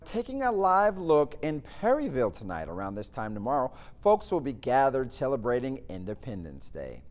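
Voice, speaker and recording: American newsman with animated delivery. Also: mid-range voice, no room sound. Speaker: news anchor and field reporter. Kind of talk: scripted broadcast news reporting.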